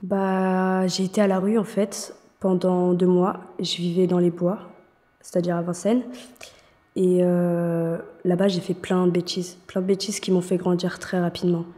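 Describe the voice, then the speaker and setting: Hesitant young woman, flat low voice. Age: 20s. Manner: halting, hesitant. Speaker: trainee interviewee. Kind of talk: documentary interview, personal testimony. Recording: reverberant room.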